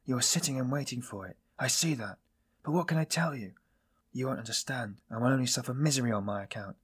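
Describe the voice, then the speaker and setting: A man playing a weary, self-loathing man in audiobook dialogue, confessing in a brooding, bitter, halting manner. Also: medium pitch, husky, close to an everyday voice. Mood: anguished.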